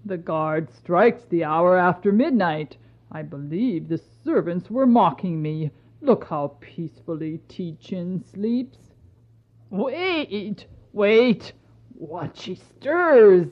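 A woman playing a stern yet tender emperor in a folktale, with a measured, grave and formal manner. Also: on the low side, expressive. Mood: wondering. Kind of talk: dramatised folktale acting. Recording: dull lo-fi recording.

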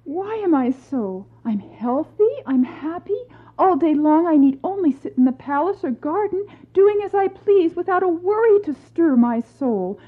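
A woman playing a gentle, anxious empress in a dramatised folktale, speaking formally and plaintively. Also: very high voice, lively intonation, unhurried. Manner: plaintive, formal storybook speech. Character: anxious, dainty young empress, gentle and ashamed. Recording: thin, phone-like lo-fi sound.

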